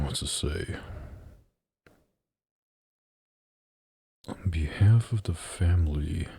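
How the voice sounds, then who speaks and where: A man playing a domineering, sardonic mafia boss in an intimate ASMR roleplay, deliberate and commanding, deep and husky, close on a studio microphone. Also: mellow, no echo, full character voice. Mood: incredulous.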